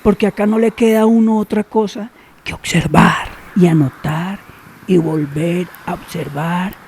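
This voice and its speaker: An actor playing a secretive, cynical older man in a radio drama, who talks slowly and knowingly and drops to near whispers. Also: high-pitched, steady tone, a little mellow, husky, heavily acted. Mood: sly.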